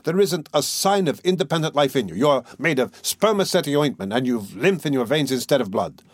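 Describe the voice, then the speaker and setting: A man reading an audiobook as a hot-tempered, bossy young man who scolds loudly and fires off demands. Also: medium pitch, lively intonation, no room sound, studio quality, close to an everyday voice. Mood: furious ranting. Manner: loud scolding, rapid-fire demands. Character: hot-tempered, blustering young male friend, bossy and loud. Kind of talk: dramatised audiobook dialogue.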